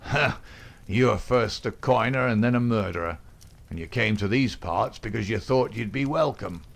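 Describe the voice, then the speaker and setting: Gravelly, domineering gang boss, read by a man; loud, rough, bullying. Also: fairly low pitch, normal speed. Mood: gruff.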